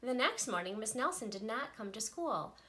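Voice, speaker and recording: A woman, a teacher, reading a children's picture book aloud with playful, expressive delivery. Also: fairly high pitch, moderate pace, noisy background. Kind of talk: storybook read-aloud.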